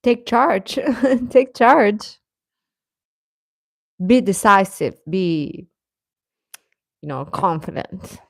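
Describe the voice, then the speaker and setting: A woman hosting a casual livestream chat, answering viewers informally and haltingly, often repeating short phrases. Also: medium pitch, lively intonation, creaky, no room sound. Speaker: livestream host chatting with viewers.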